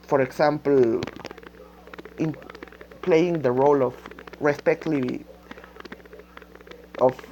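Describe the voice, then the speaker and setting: A woman with a Spanish-speaking accent rambles informally in a lowish voice. She takes her time, stopping to hunt for words and doubling back on phrases. The recording is dull and lo-fi. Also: steady tone, dark tone, a little hiss or hum. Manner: halting, searching for words, repeating phrases. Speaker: amateur game creator. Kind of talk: unscripted rambling vlog talk. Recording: dull lo-fi microphone sound.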